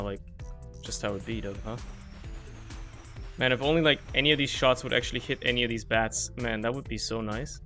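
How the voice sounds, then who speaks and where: A young man whose first language is German talks his way through a video game in a loose, joking, often profane ramble, his voice sitting at a middling pitch. He speaks into a desk microphone at home, with faint music playing underneath. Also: a bit bright. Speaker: streamer. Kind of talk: casual gameplay commentary.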